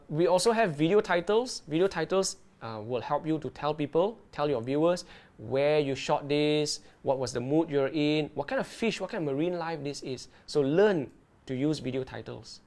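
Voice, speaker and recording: A man presenting an underwater video tutorial and Q&A live. He talks in a lively way and keeps checking in with his viewers. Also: on the high side. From Malaysia.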